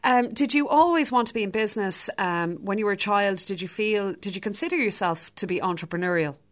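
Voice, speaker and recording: A woman's voice: medium pitch, fairly quick. A woman hosting a radio interview show, bubbly and enthusiastic, often laughing. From Ireland. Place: radio studio.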